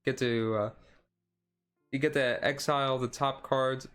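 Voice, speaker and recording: Casual, halting man streamer, chill delivery. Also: mid-range voice, steady tone, fairly bright tone, clean tone. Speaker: streamer host. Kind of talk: casual card game deck commentary, chill ASMR stream.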